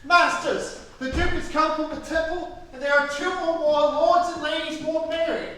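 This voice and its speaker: A performer playing an excited workman bringing news in a staged Shakespeare reading. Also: sits high, moderate pace, faint music behind. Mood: urgent news. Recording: echoey hall, distant mic, faint noise.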